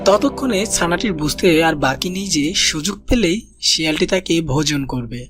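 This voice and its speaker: Narrator for kids' cartoon moral story: scripted storytelling, medium pitch, noticeable music bed. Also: lively intonation, normal speed. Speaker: narrator of a children's cartoon channel.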